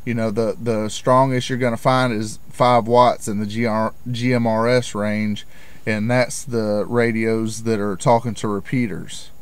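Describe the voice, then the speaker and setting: A man's voice: on the low side, level tone, measured pace, clear, resonant tone. A middle-aged American man giving an informal, plain-spoken explanation in the manner of a hobbyist vlogger. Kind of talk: informal explanatory talk to camera.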